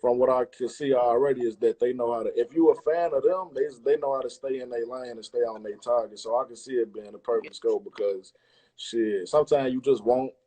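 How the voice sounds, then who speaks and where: A man's voice: medium pitch, a little fast, dark tone, poor audio. A man talks casually as a guest in an interview. His speech is loose, slangy and full of swearing, in a mid-range voice. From Chicago, United States. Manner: slangy, profane. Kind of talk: casual chat.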